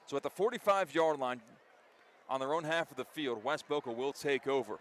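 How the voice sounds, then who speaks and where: A man doing live radio play-by-play of a football game, an excitable commentator who lifts his voice for big plays. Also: mid-range voice, a little fast. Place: outdoor high school football stadium. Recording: faint outdoor stadium ambience noise. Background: faint outdoor ambience.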